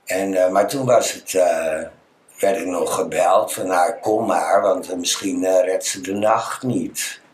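A man talking casually and personally in a halting, plain-spoken way, in an echoey room. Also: deep voice.

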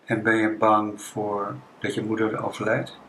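A man's voice: on the low side, completely flat delivery, measured pace, a bit bright, a little hiss or hum, rough recording. A Dutch man speaking as an interviewer in a conversational way, with hesitant, reflective phrasing.

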